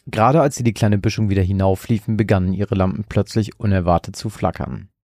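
A man narrates a scripted suspense story in a low voice. There is plenty of gravelly fry in it. The sound is close and dry, with no room to it. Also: a little fast, warm tone, no room sound.

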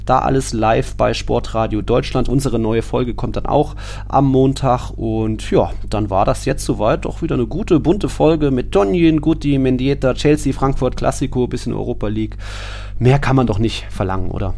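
A man with a gravelly, creaking voice at an average male pitch hosts a football podcast. He talks casually and informally, thinking aloud with a slightly halting, conversational delivery. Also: mellow. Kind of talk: casual sports podcast talk.

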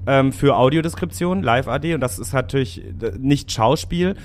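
A man's voice: medium pitch, normal speed, a little hiss or hum. Joking man chatting. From Hamburg, Germany. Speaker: podcast co-host, voice actor. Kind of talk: casual chat.

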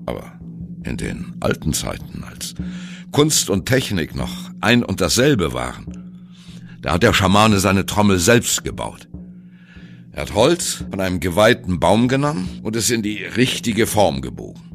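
A man's voice: sits low, big pitch swings, a little slow, creaky, exaggerated voice. An actor playing a sardonic jazz musician in a radio play, confiding his absurd story to the listener in a dramatic, wry manner. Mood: wry storytelling. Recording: music behind the voice.

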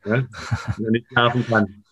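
A man's voice: medium pitch, flat delivery, moderate pace, fairly bright tone, husky, dry recording, lo-fi sound. A man asking questions casually as a podcast interviewer.

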